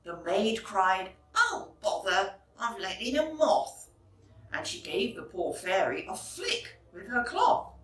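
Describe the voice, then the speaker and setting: Teacher lady doing storytime, really slow and expressive, echoey room, bit far from the mic. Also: medium pitch. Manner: clearly phrased, addressing young listeners. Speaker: teacher reading a story aloud. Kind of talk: expressive children's storybook narration. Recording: roomy echo, distant microphone.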